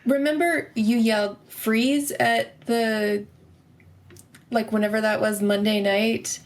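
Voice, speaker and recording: A woman's voice: on the high side, steady tone, slow. A woman playing a tabletop role-playing game, speaking casually as she improvises her part.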